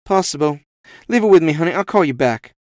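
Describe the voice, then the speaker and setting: A sassy, teasing woman played by a man in a cyberpunk audio drama. The voice is pushed up high, with a familiar, knowing manner, and it is recorded close and dry. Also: expressive, brisk, no room sound, natural voice. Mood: brisk, familiar. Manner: sassy, familiar, calls people honey. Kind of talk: audio fiction character voice.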